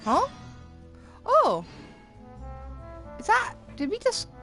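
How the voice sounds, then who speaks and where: A woman's voice: extremely high pitch, wildly swooping pitch, extremely slow, a bit bright, faint music behind. A woman game streamer giving casual live commentary, thinking aloud and restating herself as she goes.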